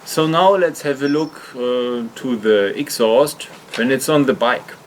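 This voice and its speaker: A man's voice: mid-range voice, measured pace, slight background noise. A man narrating an explanatory hobby vlog in hesitant, slightly awkward non-native English phrasing. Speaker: video host, hobby motorcycle customizer.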